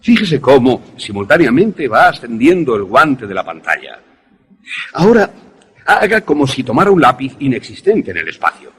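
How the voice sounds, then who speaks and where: A man acting an enthusiastic professor in a radio drama, lecturing in a deliberate, didactic, theatrical way. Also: medium pitch, sing-song, fairly bright tone, husky, heavily acted. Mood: eager, instructive. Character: enthusiastic male professor demonstrating an invention to students.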